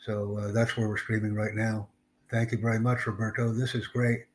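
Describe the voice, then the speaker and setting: A man's voice: fairly low pitch, completely flat delivery, moderate pace. A man hosting a live online English class over a video call, upbeat and repetitive, dropping in simple Spanish greetings. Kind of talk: casual live-stream chat.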